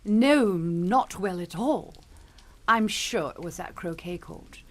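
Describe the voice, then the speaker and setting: Swooping, fussy matron. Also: female voice, on the low side, expressive, takes it slowly, no echo. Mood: fussing. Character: proper, fussy English matron, mothering and controlling. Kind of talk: radio suspense drama acting.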